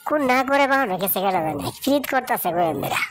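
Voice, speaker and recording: A woman's voice: on the high side, animated, rapid-fire, natural voice. A woman voicing a chatty young working woman in a village cartoon, with a familiar manner and rural Bangladeshi regional Bengali. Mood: concerned gossiping. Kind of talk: cartoon dialogue in colloquial dialect. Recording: music behind the voice. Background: music.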